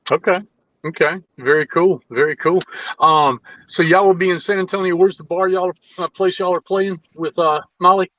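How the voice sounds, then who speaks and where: A man's voice: sits high, fairly even intonation, normal speed, a bit bright, no echo, lo-fi sound. A man with a Southern US accent hosting a casual, informal interview chat. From Mississippi, United States. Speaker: podcast host interviewing a musician.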